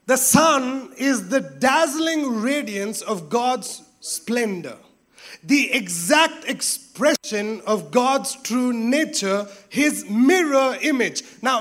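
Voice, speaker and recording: A man preaching a sermon with emphatic repetition, rising to exclamations and dropping to hushed, intense tones. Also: extremely high pitch. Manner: emphatic, repeats phrases, drops to hushed tones.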